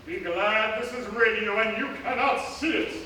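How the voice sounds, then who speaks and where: A man's voice: high-pitched, normal speed, natural voice. A man playing a wry, melodramatic narrator in a live radio comedy, breaking into offhand asides. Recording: echoey live theatre, distant mic, noisy.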